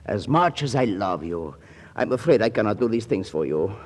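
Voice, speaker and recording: An actor playing a charming South American ladies' man with a Latin American accent and halting, broken English in a 1940s radio comedy. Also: on the low side, very animated. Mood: reluctant, bemused.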